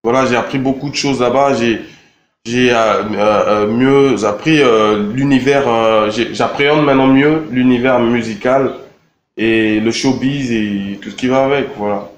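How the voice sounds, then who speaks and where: A young man from Congo answering interview questions in a relaxed, halting way, with many fillers and repeated words. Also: medium pitch, a little hiss or hum. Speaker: rapper interviewed. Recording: echoey room with faint ambience.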